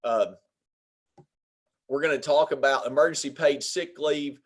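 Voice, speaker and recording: A man with a Southern Arkansas accent, an attorney giving a webinar presentation on employment law in a folksy way, pausing often on filler sounds. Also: a little high. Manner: folksy, many filler pauses.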